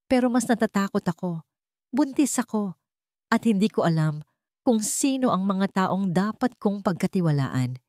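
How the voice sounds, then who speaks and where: A woman's voice: mid-range voice, animated, moderate pace, a little mellow, no echo, studio quality, close to an everyday voice. A woman voicing a determined young pregnant woman in a radio drama, intense, pleading and bitter. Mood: fearful pleading. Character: frightened, determined young pregnant woman turning coldly angry.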